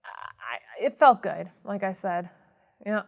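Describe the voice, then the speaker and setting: A woman's voice: mid-range voice, very animated, slow, creaky. A woman in her thirties giving brief, hesitant answers about her own experience. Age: 30s. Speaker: interviewee. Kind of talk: personal testimony.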